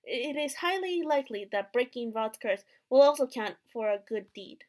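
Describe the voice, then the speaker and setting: A woman's voice: fairly high pitch, lively intonation, normal speed, darkish tone. A woman hosting a gaming video, reading a visual novel's narration aloud expressively.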